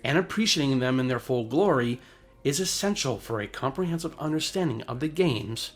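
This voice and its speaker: A male narrator reads a scripted video essay. His voice sits at a medium pitch, and his delivery is polished and formal, explaining each point in full sentences. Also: animated, fairly quick.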